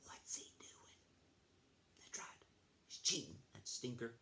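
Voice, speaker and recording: A man reading a picture book aloud to children in an animated, chatty way, breaking off to ask them questions. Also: slow, in a whisper. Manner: animated, chatty, asks the young listeners questions. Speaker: children's read-aloud storyteller, school librarian.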